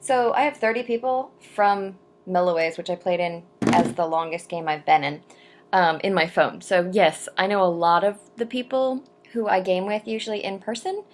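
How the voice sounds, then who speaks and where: A young adult woman chatting to camera in a casual vlog, rambling with frequent ums and asides, and reading poetry slowly and deliberately. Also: medium pitch, a little hiss or hum. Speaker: vlogger answering viewer questions.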